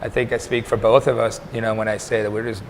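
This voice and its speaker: A man in his thirties from Denmark, a startup incubator co-founder answering interview questions in a measured, even way. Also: lowish voice. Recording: faint background noise.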